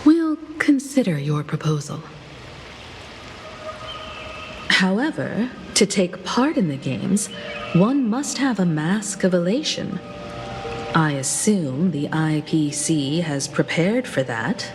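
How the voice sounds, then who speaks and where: A woman playing a composed, resolute space traveler in a video game, speaking in a measured, earnest, formal way. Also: fairly low pitch, very animated. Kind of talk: scripted video game voice acting. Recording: music behind the voice.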